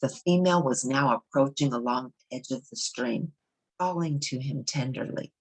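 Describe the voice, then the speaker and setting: Low, husky woman reading a story aloud. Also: moderate pace, rough recording. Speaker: storybook reader. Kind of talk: read-aloud narration of a children's novel.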